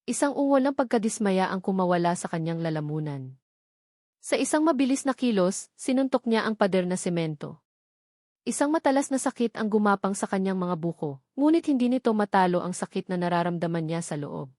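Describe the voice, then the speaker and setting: A woman's voice: medium pitch, lively intonation, fairly quick, a little mellow, dry recording, studio quality. A woman giving a scripted, dramatic narration of a romance story.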